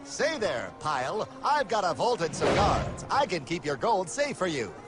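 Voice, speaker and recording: A man voicing a slick, friendly cartoon banker with a smooth salesman's manner. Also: sits high, very animated, normal speed, loud music behind the voice. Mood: ingratiating. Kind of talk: animated cartoon acting.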